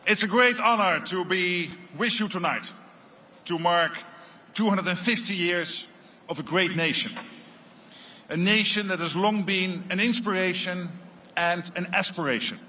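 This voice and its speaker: A man with a Dutch accent delivering an earnest, deliberately phrased formal speech as a guest speaker. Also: sits high, sharp tone, a little hiss or hum. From Netherlands.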